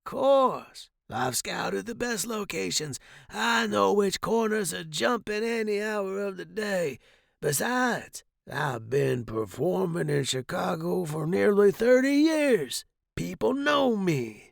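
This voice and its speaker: A brash old wino from a pulp story, acted out by a man, pitched high and creaky. He blusters in street slang and bursts out loudly, cantankerous and boozy. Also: expressive, takes it slowly, dry recording, studio quality, full character voice. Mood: pitching, cajoling. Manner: slangy, blustering, loud outbursts.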